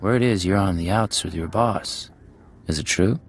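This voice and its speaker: A man's voice: deep voice, moderate pace. A man playing a cool, menacing undercover agent in a video game, speaking in terse, controlled lines. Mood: cool, guarded. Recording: loud background music.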